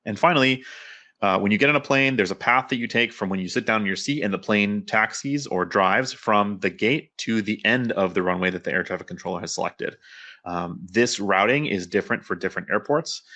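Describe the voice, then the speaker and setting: A man speaks as a presenter at a technical talk. His voice sits low but has a bright, forward edge. He explains in an informal, conversational way at a brisk clip. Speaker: conference speaker, developer and pilot.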